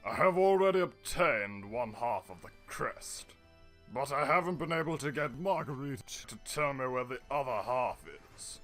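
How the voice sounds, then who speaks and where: A man's voice: mid-range voice, expressive, normal speed, fairly bright tone, soft background music, lightly acted. A man playing a shrewd, fawning prime minister in an audio drama, speaking in a formal, unctuous manner. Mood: deferential. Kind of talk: radio-play acting.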